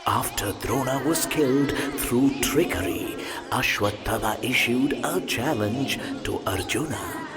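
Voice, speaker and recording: A man playing an ancient god who narrates myths in a video game, theatrical and weighty, dwelling on his words. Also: medium pitch. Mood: ominous, storytelling. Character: ancient male god, grand storyteller of myths.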